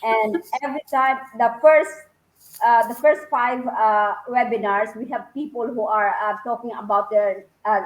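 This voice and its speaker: A woman's voice: a little high, steady tone, moderate pace, echoey room, low-quality recording. A woman from the Philippines, talking eagerly and rambling with many fillers and restarts on a video call. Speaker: panelist guest. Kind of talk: casual online panel talk.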